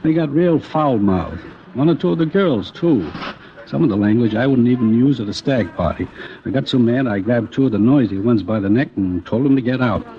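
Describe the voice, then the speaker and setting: An actor with an American accent playing an indignant, rattled movie theater manager in a 1950s radio drama, plain-spoken and complaining. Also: male voice, mid-range voice, animated, brisk, lightly acted. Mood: indignant, shaken. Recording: muffled, slightly noisy old radio recording.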